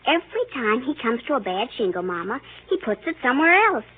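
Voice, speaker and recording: A little girl with a high, very animated voice. She chatters and tattles eagerly, piling up run-on sentences with childish importance. It is old radio drama, tinny and narrow. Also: brisk, husky. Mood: eager tattling. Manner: breathless run-on storytelling, childishly insistent. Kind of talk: 1930s radio drama acting. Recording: tinny, hissy old 1930s radio recording.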